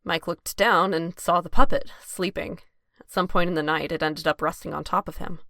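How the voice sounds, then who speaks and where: A woman's voice: on the low side, steady tone, moderate pace, pushed and bright, no room sound, studio quality. A woman reading scripted narration for an audio drama.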